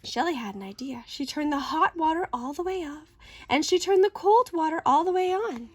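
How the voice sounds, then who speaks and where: A woman reading a children's picture book aloud in an expressive, deliberate, dramatic way. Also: high voice. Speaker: story reader.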